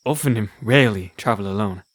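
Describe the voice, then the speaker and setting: A man's voice: lowish voice, fast talker, no echo, clean studio sound, lightly acted. A man playing a carefree, charismatic, boastful wandering fiddler in an audio drama, with a breezy, cocky manner. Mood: breezy, confident. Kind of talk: audio drama character acting.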